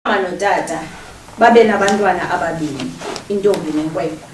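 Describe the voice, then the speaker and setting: A woman's voice: lowish voice, normal speed, natural voice. A South African woman performing as a solemn storyteller in dramatic stage narration. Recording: distant, echoey room, faint noise.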